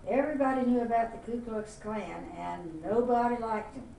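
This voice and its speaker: An older woman from Florida looks back on her school years in a plain, reflective way, her voice mellow and relaxed. The recording is echoey, and she sits some way from the mic with a faint hiss behind her. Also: a little high, normal speed, creaky, recorded from a distance. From Miami, Florida. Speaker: interviewee. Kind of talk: oral history interview.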